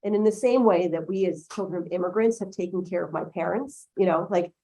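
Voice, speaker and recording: A woman's voice: lowish voice, fairly quick, warm tone, low-quality recording. A woman lawyer whose first language is Chinese, speaking in a conference room in a chatty way and often checking in with "right?". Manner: chatty, tags points with "right?". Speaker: lawyer, panel guest. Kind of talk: conversational panel talk.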